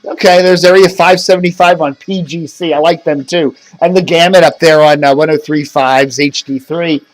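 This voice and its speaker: A man's voice: on the high side, steady tone, normal speed, few pauses, poor audio. An American man chatting casually to camera as a video blogger, meandering and thinking aloud. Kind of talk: casual rambling chat to camera. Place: indoors at home.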